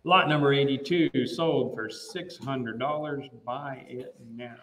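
A man's voice: mid-range voice, takes it slowly, background noise. A middle-aged American man working as an auctioneer, calling bids in a repetitive, rhythmic live auction chant. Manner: repetitive rhythmic bid-calling with filler phrases. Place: large indoor auction room. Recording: roomy, picked up at a distance.

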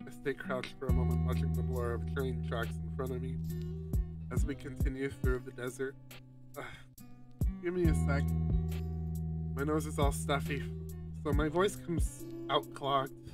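A man's voice: mid-range voice, flat delivery, normal speed, distant mic, loud music behind the voice. A man streaming a visual novel, reading its narration aloud in a dramatic storytelling style.

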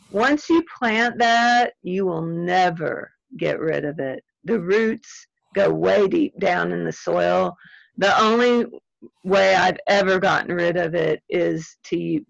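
Gravelly, hoarse-voiced Midwestern woman teaching. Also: fairly low pitch, no echo. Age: middle-aged. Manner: friendly, chatty, self-deprecating. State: losing her voice, clearing throat. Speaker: extension weed scientist answering audience questions. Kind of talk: casual Q&A at end of webinar. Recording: dry, slightly dull webinar audio.

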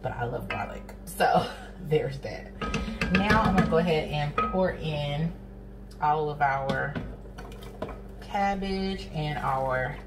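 A woman's voice: low voice, slow, over loud music. A young woman, chatting casually through a cooking demo in her home kitchen and calling viewers honey and sis. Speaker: cooking vlogger. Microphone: camera nearby, speaker off to the side. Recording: kitchen with frying noise. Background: sizzling pan and stirring.

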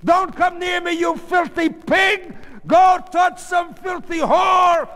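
A man reading a story, voicing an emotional, suspicious middle-aged wife in shrill, accusing tones. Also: wildly swooping pitch, slow, in a large hall, exaggerated voice. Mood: furious, shrieking.

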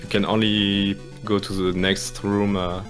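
A man's voice: fairly low pitch, level tone, a little slow, a bit bright, soft background music. A man from France with a French accent, explaining a game speedrun in hesitant live commentary full of restarts. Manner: hesitant, many restarts and fillers, apologetic.

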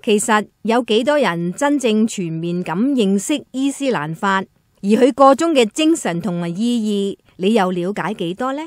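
A woman's voice: medium pitch, expressive, normal speed, darkish tone, no echo, studio quality. A woman with a Hong Kong accent reading scripted narration for a radio drama. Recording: faint music behind.

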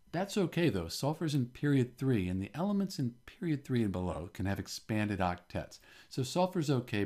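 A man with a fairly low voice gives an informal chemistry tutorial. His intonation moves up and down a great deal as he explains each step methodically, in the manner of a teacher working through a problem. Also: darkish tone.

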